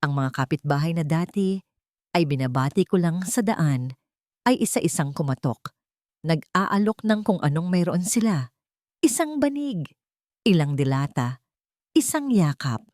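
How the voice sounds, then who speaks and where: A woman reading a scripted first-person dramatic story in a sorrowful, deliberate manner. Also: on the low side, animated, darkish tone, no room sound, clean studio sound. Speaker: story narrator.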